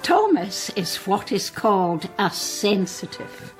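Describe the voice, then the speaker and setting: A woman's voice: mid-range voice, big pitch swings, slow pace, faint music behind. An older woman with a Scottish accent playing a proud, genteel, talkative mother in a radio drama. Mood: proud, chatty. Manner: genteel, chatty, boastful. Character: proud, talkative mother of a grown psychic son. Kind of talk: naturalistic radio drama acting.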